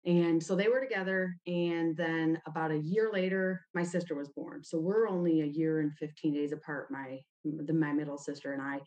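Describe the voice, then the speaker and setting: A woman's voice: low voice, steady tone, normal speed, hardly any pauses, darkish tone, muffled recording. A woman from Ohio tells personal stories as an interview guest, informally, often saying "like". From United States, grew up in Ohio. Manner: informal, frequent "like" as a verbal habit. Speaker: podcast guest. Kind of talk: conversational personal storytelling in an interview.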